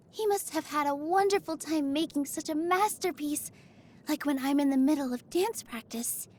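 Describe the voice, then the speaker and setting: An actress voicing a gentle, cheerful young woman dancer in a video game, speaking in a sweet, earnest, easily flustered manner. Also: high voice, a little hiss or hum, close to an everyday voice. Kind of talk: video game character voice lines.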